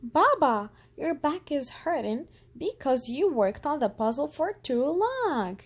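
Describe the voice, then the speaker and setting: An earnest little girl playing doctor in a children's storybook, voiced by a woman. She is caring and inquisitive, with a high, animated voice that swings up on her questions. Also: slow, exaggerated voice. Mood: excited, confident. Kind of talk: storybook character voice.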